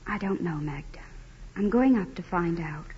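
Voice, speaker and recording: An actress in 1940s radio style playing an earnest, anxious young wife, pleading with a cruel aristocratic husband. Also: female voice, fairly low pitch, lively intonation, normal speed, natural voice. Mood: anxious, gentle. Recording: muffled, noisy 1940s radio recording.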